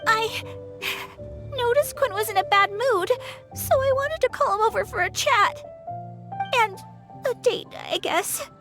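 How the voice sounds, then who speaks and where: A woman's voice: extremely high pitch, a little slow, long pauses, a bit bright, faint music behind, full character voice. An actress playing a lovelorn young townswoman in a video game, chatty and pleading. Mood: plaintive, pleading.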